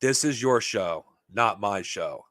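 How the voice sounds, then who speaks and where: A man hosting a podcast, talking off the cuff to his viewers in a heated, profane, rambling way, his voice getting worn and hoarse. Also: lowish voice, animated, takes it slowly, fairly bright tone, no room sound, clean studio sound. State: losing his voice. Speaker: podcast host. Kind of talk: unscripted monologue to viewers.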